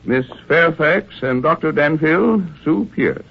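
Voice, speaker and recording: A man's voice: fairly high pitch, lively intonation, vocal fry, breathy edge, exaggerated voice. An actor playing an eerie, cultured older male hotelkeeper who is morbidly courteous and draws out his words, in vintage radio mystery style. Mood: courteous hosting. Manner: slow, drawn-out, cultured, ghoulishly genteel. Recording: muffled, thin vintage radio recording.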